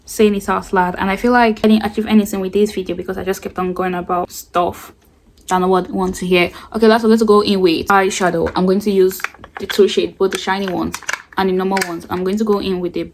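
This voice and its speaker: A woman's voice: mid-range voice, steady tone, fast, a little hiss or hum. A teenage girl chatting in a rambling, casual way while doing her makeup for a get-ready-with-me vlog. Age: late teens. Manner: rambling, chatty, self-described yapping. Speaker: beauty vlogger.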